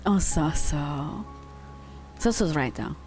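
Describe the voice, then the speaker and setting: Slow, laid-back young woman streamer. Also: sits low, animated, a little mellow, faint music behind. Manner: laid-back and slangy, with pet names for chatters. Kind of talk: casual live-stream chat with viewers.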